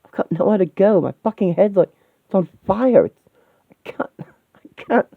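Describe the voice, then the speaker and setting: A man's voice: on the high side, animated, normal speed, vocal fry, no echo, voice pushed up. A man playing a frantic, foul-mouthed runaway teenage boy in a story, agitated and pleading. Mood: distraught. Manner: agitated, sweary, pleading outbursts. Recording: thin phone-like sound.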